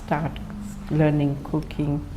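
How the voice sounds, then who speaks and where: A woman's voice: low voice, monotone, slow, background noise. A woman from Kenya telling her life story in an interview, hesitant, with many fillers and restarts. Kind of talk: personal storytelling.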